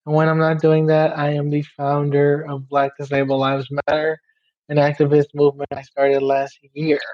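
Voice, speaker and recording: Young male guest from Guyana; pitched a bit high, laid-back run-on delivery, muffled mic. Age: young adult. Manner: relaxed, laughs easily, talks in loose run-on phrases. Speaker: podcast guest. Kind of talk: casual interview chat. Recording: somewhat dull, muffled sound.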